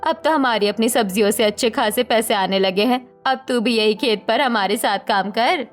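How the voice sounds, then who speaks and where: A woman's voice: a little high, normal speed, faint music behind, close to an everyday voice. A woman voicing a caring village mother in a cartoon story, affectionate and reassuring. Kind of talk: Hindi moral-story cartoon voice acting.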